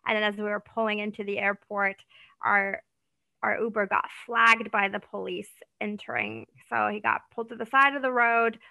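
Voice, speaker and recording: A woman's voice: mid-range voice, animated, normal speed, creaky, pushed and bright, no echo, low-quality recording. A woman from Iran, a podcast guest, chatting casually about travel with frequent "like"s and restarts. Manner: chatty, lots of likes and restarts.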